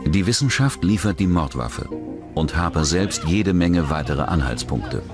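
A man's voice: low-pitched, expressive, measured pace, loud music behind the voice. A man reading scripted true-crime documentary narration as the narrator.

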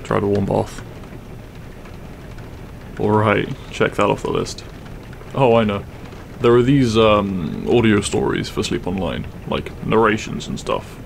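A man playing a grumpy but secretly caring half-dragon roommate in an audio roleplay, gruff, weary and blunt. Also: lowish voice, expressive, a little slow, slight background noise. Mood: softening, caring. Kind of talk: audio roleplay acting, spoken to the listener.

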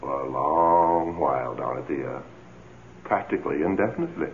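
An actor playing the amorous, coaxing ghost of a philandering husband in a radio drama, pleading and smooth-talking. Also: male voice, extremely low pitch, moderate pace. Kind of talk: old radio drama acting. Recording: tinny, hissy old radio recording.

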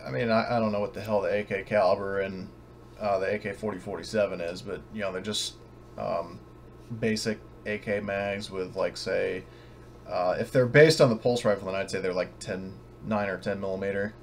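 Hesitant low-voiced man running a game. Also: steady tone. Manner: halting, hedging, self-correcting. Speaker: game master. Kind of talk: narrating and refereeing an online tabletop game. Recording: noisy online voice chat recording.

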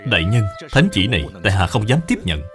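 A man's voice: fairly low pitch, sing-song, fast, music in the background. A man voicing an upright, earnest younger brother, an official in a cartoon who formally blames himself. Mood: solemn, self-reproaching. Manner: earnest, formal, self-reproaching.

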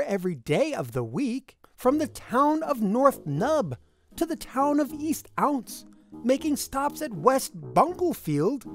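A woman with a high voice reads rhyming verse aloud for children. Her delivery swings widely in pitch, with a sing-song storyteller's lilt. Light music plays along. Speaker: host reading a picture book aloud to children.

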